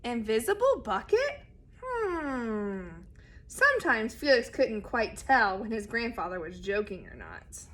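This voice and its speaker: A woman reading a children's picture book aloud expressively and deliberately, speaking straight to young listeners. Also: mid-range voice, very animated, pushed and bright. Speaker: read-aloud storyteller.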